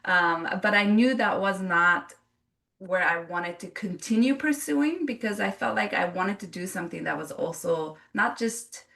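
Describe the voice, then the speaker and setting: A woman's voice: medium pitch, lively intonation, measured pace, gravelly, a bit bright, far from the mic. A woman who grew up in Japan, a yoga teacher telling her story in an interview, earnest and reflective with frequent restarts.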